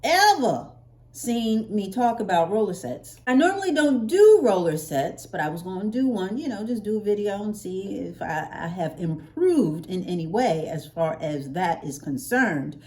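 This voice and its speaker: An American woman vlogger chatting casually to her viewers in a playful, expressive way, stretching words for emphasis. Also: mid-range voice, moderate pace. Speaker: hair care vlogger.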